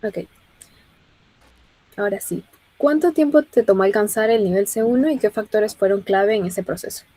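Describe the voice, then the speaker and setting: A woman moderates the session and reads out audience questions in a mellow, warm voice. The sound is dry and close. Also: mid-range voice, moderate pace, pauses often, no room sound, rough recording. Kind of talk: webinar moderation.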